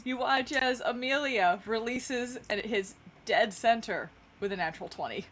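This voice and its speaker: A woman's voice: a little high, normal speed, a bit bright, slight background noise. A woman running a tabletop role-playing game, improvising narration and calling for rolls as game master.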